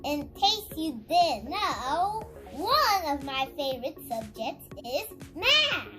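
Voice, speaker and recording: A child host reading an educational picture book aloud in a deliberate, instructive way, speaking directly to viewers. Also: high-pitched, sing-song, music in the background.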